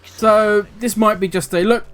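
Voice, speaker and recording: Older male gaming host, slightly raised pitch, rambling and grumpy in tone. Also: fairly even intonation, a little slow, clean tone, faint music behind. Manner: talkative, rambling, self-correcting; cynical, grumbling. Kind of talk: casual commentary video.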